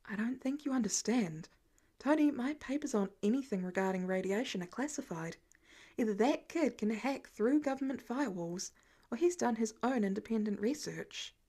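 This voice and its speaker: A woman playing a thoughtful male research scientist in an audiobook, speaking in a measured, low-key way. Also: expressive, dark tone, husky. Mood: quietly serious.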